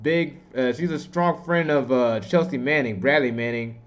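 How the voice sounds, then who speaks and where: A man's voice: medium pitch, moderate pace. A man giving casual, opinionated political commentary in a rambling, slangy, informal way. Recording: dull, muffled lo-fi audio.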